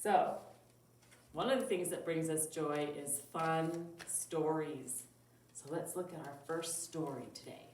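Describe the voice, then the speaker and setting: A woman reading a picture book aloud to young children as a storytime librarian, with drawn-out words and dramatic emphasis. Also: low voice, a little mellow. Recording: roomy echo, distant mic, faint noise.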